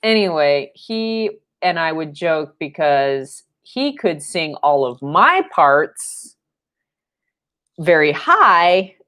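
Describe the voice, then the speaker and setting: A young woman with a low voice, leading a live-stream painting lesson at home, chatty and rambling with fillers, recorded by a fixed camera mic in a room. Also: sing-song, drawn-out words, fairly bright tone. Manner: rambling and chatty, with fillers and playful sound effects. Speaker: painting instructor. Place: home studio room, indoors.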